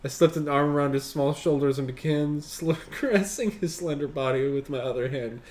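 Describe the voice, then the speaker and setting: A man's voice: a little high, moderate pace, darkish tone. Man reading aloud, casual and sweary. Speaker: podcast host reading aloud.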